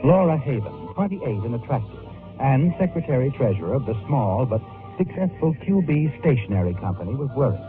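A man's voice: mid-range voice, close to an everyday voice. Man acting an ominous, swooping old-radio narrator. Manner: dramatic, sinister, knowing storyteller. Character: ominous, all-knowing male narrator of crime tales. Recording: tinny old radio recording, music underneath.

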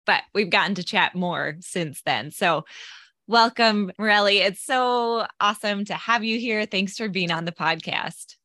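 A woman's voice: medium pitch, measured pace, bright, forceful tone, no room sound. Upbeat woman podcast host, dry sound. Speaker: podcast host and life coach. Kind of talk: podcast interview.

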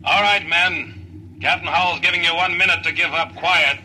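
A menacing ship's mate in a noisy vintage radio serial. His high voice is very sharp and forceful, issuing threats. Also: fairly even intonation, moderate pace. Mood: threatening. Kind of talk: old-time radio drama acting.

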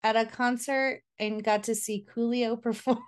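A woman in her thirties from Florida co-hosting a casual podcast, her voice a little hoarse, laughing often. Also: a little high, fairly even intonation, moderate pace. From Florida, United States. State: slightly hoarse. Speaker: podcast co-host. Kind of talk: casual chat.

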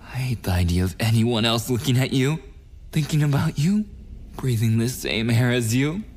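A man's voice: mid-range voice, animated, darkish tone, lightly acted. A man playing a possessive, unhinged, murderous kidnapper boyfriend in an ASMR audio roleplay, menacing and often slipping into whispers. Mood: adoring, possessive. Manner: slow, drawn-out menace slipping into whispers and giggles.

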